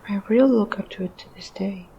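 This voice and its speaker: Woman voicing a soft, mellow, shy ghost. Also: medium pitch, fairly quick, muffled recording, slight background noise, lightly acted. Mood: wistful, rambling. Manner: hesitant, trailing off, self-deprecating. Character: shy, self-doubting, rambling ghost. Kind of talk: audio drama acting.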